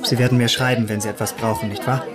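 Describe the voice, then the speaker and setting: An actor playing a haughty, restless young gentleman in a radio drama, with a curt, imperious manner. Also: mid-range voice, steady tone, fast, close to an everyday voice. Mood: restless, cynical. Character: youthful-looking, haughty, restless, corrupt gentleman. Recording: long reverb, faint music behind.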